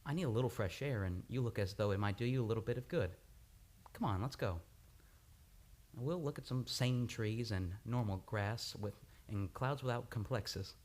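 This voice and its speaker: A man playing a youthful, charming but edgy chief doctor, courteous and expressive, in an old-time radio play. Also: lowish voice, normal speed, lightly acted. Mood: coaxing.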